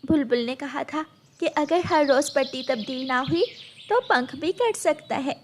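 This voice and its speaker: A woman's voice: high voice, measured pace, a little hiss or hum. A woman voicing a caring, reassuring mother bird in a children's cartoon. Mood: worried.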